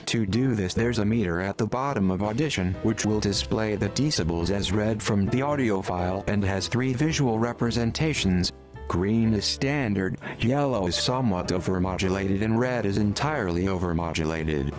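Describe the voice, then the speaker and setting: A man reading scripted instructional narration for a tutorial in a measured, step-by-step way. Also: lowish voice. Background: background music.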